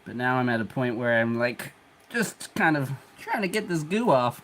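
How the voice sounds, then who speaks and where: A congested man hosting a livestream, chatting in a relaxed, rambling way while he works, chuckling often. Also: mid-range voice, normal speed. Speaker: 3D printing livestream host. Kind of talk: casual livestream talk while working.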